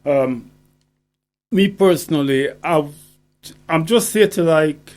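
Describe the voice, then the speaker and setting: A man talking as a poet guest on radio, deliberate and emphatic, often checking he is understood. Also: on the high side, darkish tone. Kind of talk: conversational radio interview.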